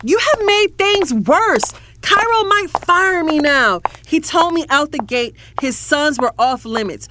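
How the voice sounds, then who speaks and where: A woman's voice: high voice, expressive, unhurried, few pauses, background noise. A woman acting as a proud, sarcastic young professional employee in an audio drama. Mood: defensive, upset. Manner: sarcastic, emphatic.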